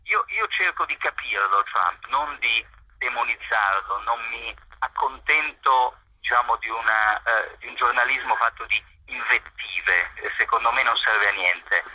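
Journalist guy answering questions, bright husky voice, lots of ehm pauses, dry sound. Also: medium pitch, dry recording, poor audio. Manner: measured, hesitant with frequent fillers. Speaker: journalist being interviewed. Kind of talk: thoughtful interview answers.

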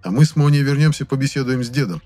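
A man's voice: mid-range voice, expressive, very fast, mellow, faint music behind, pitched above the performer's own voice, natural voice. A man voicing an impatient, bossy young lad who questions insistently, as the hero of an audiobook story. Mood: bossy, annoyed.

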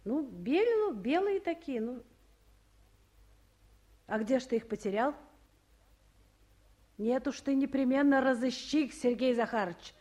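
A busy official with a high feminine voice. She is used to giving orders, yet has a motherly streak, acted in the manner of 1950s radio theatre. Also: animated, a little slow, long pauses, darkish tone. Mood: firm, reproachful. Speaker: official in charge. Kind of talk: 1950s Russian radio play acting.

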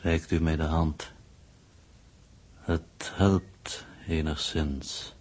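An actor playing a cold, formal, analytical alien coordinator in a 1960s radio play, in measured, detached speech. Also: male voice, extremely low pitch, fairly even intonation, slightly rough, exaggerated voice. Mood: detached, musing. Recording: 1960s radio drama recording.

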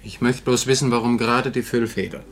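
An actor playing a brash, glib and slangy younger son in a 1950s German radio drama. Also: male voice, mid-range voice, flat delivery, a little fast, close to an everyday voice. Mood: brash, incredulous. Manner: cocky, slangy, offhand.

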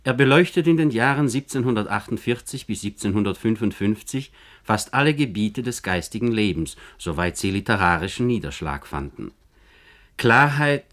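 Deliberate man narrating in low pitch. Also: a bit bright. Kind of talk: scripted documentary narration for German radio.

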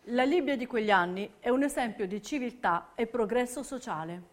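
An actress playing a narrator who questions and recounts history in scripted documentary theatre. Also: female voice, a little high, normal speed, close to an everyday voice. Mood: declamatory. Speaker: stage actress.

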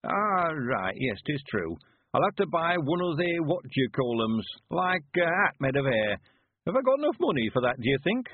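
A high, husky old countryman with a broad West Country accent. He is sprightly and cheerful in his nineties, drawing out folksy dialect with great emphasis. It is an audiobook character heard through a narrow, radio-like band. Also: big pitch swings, normal speed, dry recording. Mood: eager, fussing. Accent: rural English West Country. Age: 90s. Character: sprightly, cheerful 91-year-old rustic man.

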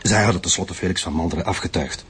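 A man's voice: sits low, fast talker, fairly bright tone, husky, full character voice. An actor with a Flemish accent playing an articulate, bitter male lecturer confessing to murder in a radio drama. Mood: bitter confession. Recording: music behind the voice.

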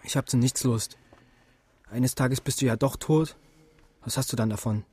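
An actor in a German radio drama playing a cynical, disillusioned young soldier who talks in a curt, sardonic way. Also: male voice, medium pitch, fairly even intonation, moderate pace, dark tone, breathy edge, close to an everyday voice. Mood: bitter.

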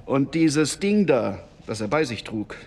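A man acting a blunt, self-satisfied police constable in a German radio drama, telling things in a halting way. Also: medium pitch, sing-song, darkish tone, slightly rough, exaggerated voice. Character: working-class police constable, matter-of-fact, self-satisfied about grisly work.

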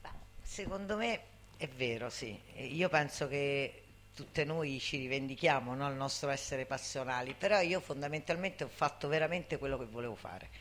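A woman's voice: rumbling low, normal speed, a little hiss or hum. An older woman speaking informally and passionately to others, emphatic and often seeking agreement with tag questions. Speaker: guest speaker, veteran activist. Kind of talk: impassioned informal public talk.

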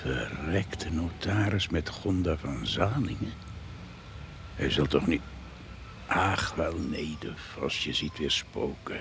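Radio play: blunt, folksy male villager, lowish and very expressive, slight background noise. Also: slow, vocal fry, heavily acted. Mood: suspicious, muttering. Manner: folksy, talks to himself. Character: countryman called the fox, chatty, blunt, meddlesome. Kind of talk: Dutch radio drama acting. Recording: noisy radio drama recording.

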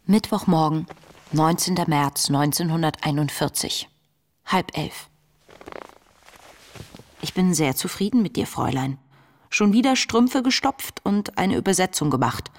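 An actress playing an introspective young woman who reads her diary in a radio play, earnest and confessional, with a breathy voice. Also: deep voice, lively intonation, darkish tone. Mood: brisk, self-approving. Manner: reflective, measured, earnest, confessional. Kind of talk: literary radio play monologue, intimate diary narration.

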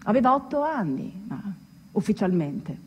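A woman actor delivering a theatrical storytelling monologue, phrasing deliberately and dramatically with pauses. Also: medium pitch, big pitch swings, a little mellow, highs cut off, slight background noise. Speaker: stage actress narrator. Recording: echoey reverberant hall, music underneath. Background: music.

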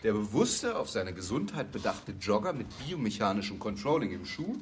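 A man's voice: on the low side, sing-song, moderate pace, a little hiss or hum. An actor playing a glib, self-assured advertising man who explains matter-of-factly and asks rhetorical questions in a radio play. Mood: eager, calculating. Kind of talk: German radio play, conversational monologue acting.

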